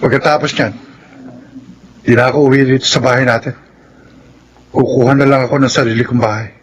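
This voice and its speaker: An actor playing a bitter, confrontational ex-convict elder brother in a Filipino radio drama. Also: male voice, medium pitch, fairly even intonation, a little fast, highs cut off, close to an everyday voice. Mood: defiant.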